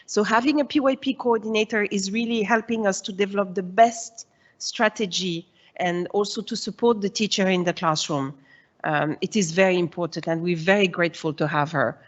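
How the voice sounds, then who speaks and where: A woman's voice: mid-range voice, moderate pace. Woman, 40s, from Switzerland, school head presenting to parents, reassuring, fillers, slightly muffled sound. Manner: explanatory, reassuring, with frequent "uh" and "um". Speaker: head of early years at a school.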